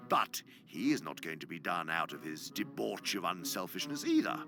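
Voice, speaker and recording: A man's voice: deep voice, very animated, moderate pace, creaky, music in the background, full character voice. A man playing a sly, gloating senior demon who lectures pompously for a radio drama, savoring his words and sometimes dropping to a whisper. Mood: smug relish, then animated, mocking. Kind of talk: theatrical radio drama monologue.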